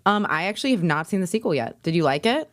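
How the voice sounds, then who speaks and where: A woman chatting casually about movies as a podcast guest, agreeable, excitable and informal. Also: on the low side, fast, dry recording.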